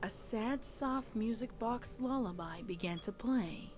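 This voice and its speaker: A woman's voice: a little high, measured pace. A woman giving a scripted, dramatic narration of a story. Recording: loud music behind voice. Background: music.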